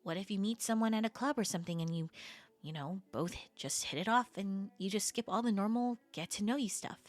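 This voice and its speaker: Woman acting flirty, expressive best friend, close and dry. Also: mid-range voice, fairly quick, no echo, lightly acted. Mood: exasperated, defensive. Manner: casual, expressive, hesitant trailing-off phrases. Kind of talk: intimate audio roleplay acting, conversational.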